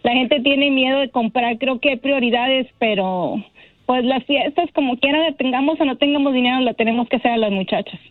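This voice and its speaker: A woman's voice: high-pitched, brisk, no room sound. A middle-aged woman calling in by phone, chatting cheerfully and laughing often as she talks about her bridal shop. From Mexico (Monterrey) and Texas. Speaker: caller, bridal shop owner. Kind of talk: phone-in chat on a radio show. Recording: tinny phone line, dry.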